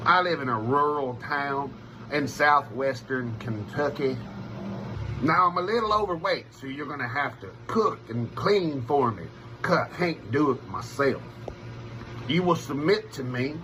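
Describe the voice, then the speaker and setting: A man's voice: medium pitch, lively intonation, a little slow, background noise, natural voice. A man playing a cocky, domineering rural bachelor in a comic monologue, with a folksy Southern US accent. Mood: brash, boastful. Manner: folksy, brash.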